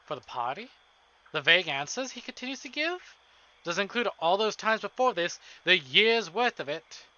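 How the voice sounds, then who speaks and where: A male streamer reading visual novel narration aloud in an expressive, dramatic way, with casual asides. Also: high voice, normal speed, dull audio. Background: game music.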